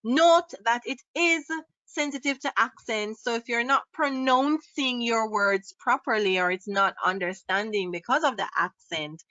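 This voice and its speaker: A woman with a Jamaican accent presenting a live software tutorial, upbeat and quizzing her audience as she demonstrates. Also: fairly high pitch, measured pace, no room sound, lo-fi sound. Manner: upbeat, asks the audience questions. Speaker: online workshop presenter. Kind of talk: live screen-share tutorial. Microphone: built-in laptop mic.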